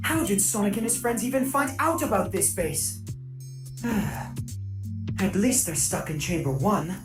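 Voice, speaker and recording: A fussy cartoon robot henchman , played by a woman frets in a slow, sighing voice that rises and falls widely. The take sounds roomy and echoey, with music running beneath it. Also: mid-range voice, lively intonation. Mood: fretful. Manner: worried, sighing. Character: fretful male robot henchman, anxious and fussy.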